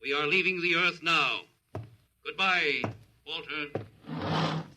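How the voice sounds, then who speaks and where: An actor playing an emotionless immortal alien invader in a 1950s radio drama, speaking in a clipped, formal, authoritative way. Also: male voice, fairly high pitch, slow pace. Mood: cold, pronouncing. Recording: noisy vintage 1950s radio recording.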